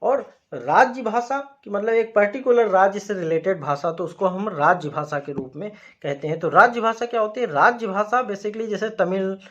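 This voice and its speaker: A man from India teaching a lesson, explaining slowly and repeating key points in an emphatic teacher's way. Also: sits high, fairly bright tone, lo-fi sound. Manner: repetitive, emphatic teacher phrasing. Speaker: educator.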